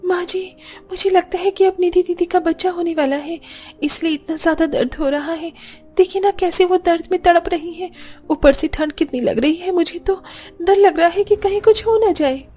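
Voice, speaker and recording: A woman's voice: very high voice, normal speed, dark tone, faint music behind, pitched above the performer's own voice. A woman voicing a young, caring daughter-in-law in a cartoon family story, emotive yet respectful. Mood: anxious, urgent.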